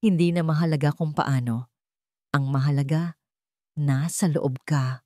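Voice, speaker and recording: A woman's voice: low voice, fairly even intonation, a little slow, dark tone, dry recording, studio quality. A woman with a Filipino accent voicing a threatening, commanding male blackmailer in a story. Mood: menacing. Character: menacing male blackmailer on the phone.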